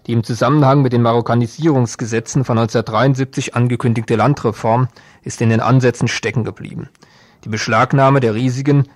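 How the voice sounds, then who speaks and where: A man's voice: mid-range voice, no echo. A man reading a scripted news report as a reporter.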